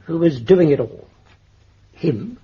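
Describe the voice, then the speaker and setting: A brusque English policeman in a radio play. His fairly high voice is very husky and dark-edged, and he speaks bluntly, as one used to giving orders. The sound is muffled. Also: expressive, slow pace, exaggerated voice. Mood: surprised, curious. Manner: brusque, exclamatory, commanding. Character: Luke, brisk, blunt, commanding police detective. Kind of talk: British radio drama acting. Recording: dull, muffled radio recording.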